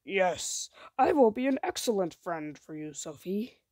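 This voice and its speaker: Woman voicing a gravelly, friendly little storybook monster. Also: mid-range voice, big pitch swings, a little slow, a little mellow, dry recording, studio quality. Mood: solemn, loyal. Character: friendly, joking male monster with big eyes.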